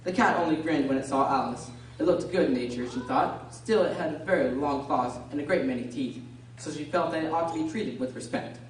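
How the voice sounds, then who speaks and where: A woman narrating a scripted storybook radio drama in an expressive, theatrical way. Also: low-pitched, brisk. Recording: echoey room, distant mic, loud noise.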